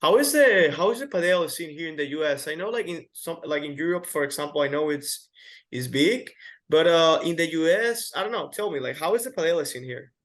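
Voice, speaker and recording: A man's voice: fairly high pitch, lively intonation, fairly quick, fairly bright tone. A man hosting an interview, upbeat and chatty, often restarting his questions. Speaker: host.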